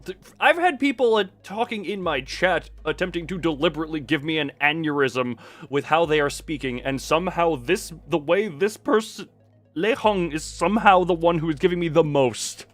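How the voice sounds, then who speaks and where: A man's voice: on the high side, moderate pace, soft background music. Man livestreaming, reading aloud with incredulous asides. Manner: animated, incredulous asides, swearing. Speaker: streamer playing and reading a game aloud. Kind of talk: livestream commentary mixed with dramatic reading.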